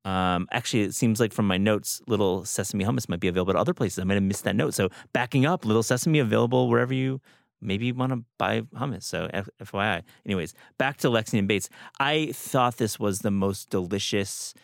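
Low-voiced man in casual, slangy chat. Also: a little fast, vocal fry, dry recording, studio quality. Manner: slangy, enthusiastic about food. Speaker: podcast host.

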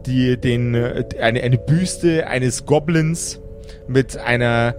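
A male game master improvises his narration over clearly audible music. His mid-range voice halts, searches for words and corrects itself as he describes the scene to players. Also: mid-range voice, slow.

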